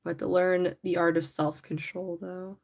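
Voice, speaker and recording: A young woman hosting a casual livestream, chatty and giggly, her voice a little raspy from allergies. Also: on the low side, little pitch movement, unhurried, darkish tone. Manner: chatty, giggly, rambling with many "like"s. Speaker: livestream host. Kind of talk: casual livestream chat while painting a figure. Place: indoors at home.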